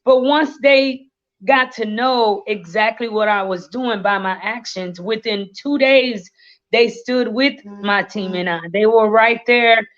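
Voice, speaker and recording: A woman in her thirties, born in Haiti, slowly and earnestly telling her life story as a guest, with many fillers. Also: on the high side. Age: 30s. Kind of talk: livestream interview, life story. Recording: lo-fi livestream audio.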